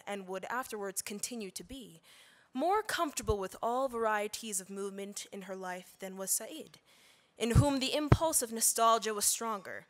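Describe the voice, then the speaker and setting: A woman actor giving a dramatic reading of literary fiction with expressive, deliberate phrasing. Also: on the high side.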